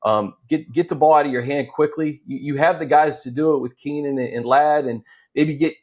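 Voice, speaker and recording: A man's voice: on the high side, a little fast. An American man hosting a casual sports talk show, posing points to his fellow analysts. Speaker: show host.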